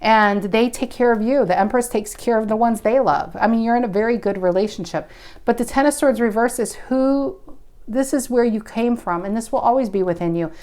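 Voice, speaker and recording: A woman's voice: on the high side, fairly quick, a little mellow. A woman in her mid-forties giving a casual tarot reading, thinking aloud in a musing, intuitive way with frequent restarts. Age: 40s. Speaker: tarot reader.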